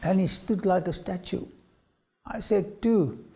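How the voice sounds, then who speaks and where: An older man with an Afrikaans accent, a lecturer telling his own story to camera in a studio, swinging from loud phrases to whispers. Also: sits high, unhurried, vocal fry, husky. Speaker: archaeology lecturer. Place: studio with dark backdrop.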